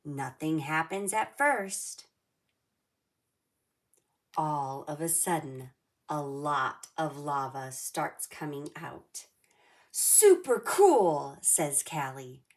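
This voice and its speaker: A woman reading a children's storybook aloud in a measured, simple way for young listeners. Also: sits low, a bit bright.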